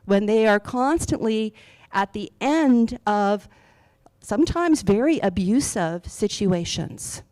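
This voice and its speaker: A woman's voice: mid-range voice, darkish tone, no echo. A woman speaking earnestly and passionately on a panel about schools and teachers. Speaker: panelist, education advocate. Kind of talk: panel discussion.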